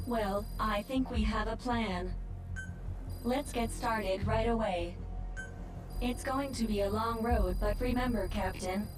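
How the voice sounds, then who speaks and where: A polite female machine intelligence from a video game, set a little high in pitch. She explains calmly and earnestly in measured sentences. The voice sounds somewhat distant, with clear music underneath. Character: polite female AI machine, calm mission guide. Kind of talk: scripted video game dialogue.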